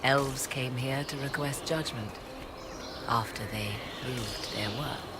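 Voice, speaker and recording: A woman's voice: extremely low pitch, takes it slowly, heavy background noise. An actress playing a proud, sardonic woman mage in a fantasy game, speaking formal archaic English with a haughty air. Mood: lecturing.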